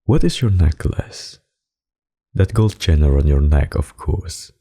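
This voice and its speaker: A man voicing a suave, possessive, menacing vampire in an ASMR roleplay, with formal, courtly, seductive speech and a gravelly, husky voice. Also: extremely low pitch, animated, unhurried, warm tone, full character voice. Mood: courtly, insistent. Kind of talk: ASMR roleplay, dramatic monologue.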